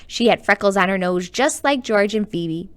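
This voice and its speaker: Lady librarian reading a kids book out loud, animated n chatty, talks right to the kids. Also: medium pitch, normal speed. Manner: animated, chatty, addressing young listeners directly. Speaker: children's librarian reading aloud. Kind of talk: expressive storybook read-aloud with chatty asides.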